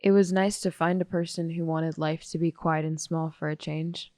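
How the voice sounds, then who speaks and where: A woman's voice: deep voice, steady tone, normal speed, darkish tone, dry recording, clean studio sound, close to an everyday voice. A young American woman playing a blunt, sarcastic teenage loner in a naturalistic audio drama. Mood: wry, defensive. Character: blunt, sardonic teenage loner girl, guardedly loyal.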